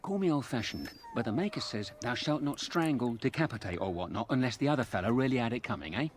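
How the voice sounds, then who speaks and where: An actor playing a chatty, sly ex-burglar turned fence in a video game, talking in colloquial patter. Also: male voice, medium pitch, expressive, fast, a little hiss or hum, natural voice. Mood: wistful, chatty. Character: cheeky roguish male thieves' fence, ex-burglar with a conscience. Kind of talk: video game character dialogue.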